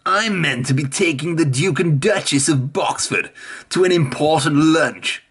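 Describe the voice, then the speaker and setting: A reader voicing Spencer, a proud, impatient big male engine, who speaks in a huffy, slow, grumbling way. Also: mid-range voice, fairly bright tone, slightly rough, voice pushed up.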